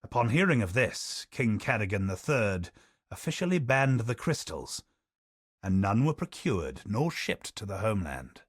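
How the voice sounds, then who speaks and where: An actor playing a solemn male chronicler of a doomed colony, in a grave, measured manner of narrating, recorded dry. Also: fairly low pitch, sing-song, breathy edge, dry recording, heavily acted. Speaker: game character narrating lore. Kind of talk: scripted lore recitation.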